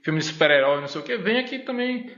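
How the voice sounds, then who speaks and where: A man's voice: high-pitched, lively intonation, racing, fairly bright tone. Hesitant man with a northeastern Brazilian accent. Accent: Brazilian Portuguese, northeastern. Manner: hesitant, many fillers and repeated "né?". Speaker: podcast guest, university professor. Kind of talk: casual interview chat. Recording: echoey room, dull lo-fi sound.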